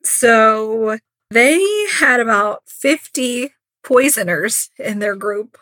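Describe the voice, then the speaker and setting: A woman's voice: a little high, slow, fairly bright tone, dry recording. A woman co-hosting a podcast, casually retelling a true crime story in an informal, conversational way and acting out quotes. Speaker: podcast co-host.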